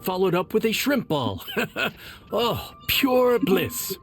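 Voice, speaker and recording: A man's voice: high voice, sing-song, takes it slowly, faint music behind, heavily acted. A man voicing a proud, earnest inn chef in a video game, with a formal, savouring manner. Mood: blissful. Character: proud, earnest male inn chef presenting his food.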